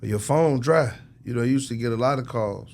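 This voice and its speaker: A man's voice: medium pitch, fairly quick, a little mellow. A man talking casually as a record executive in an interview, emphatic and slangy, repeating phrases for effect. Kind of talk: casual, animated interview talk.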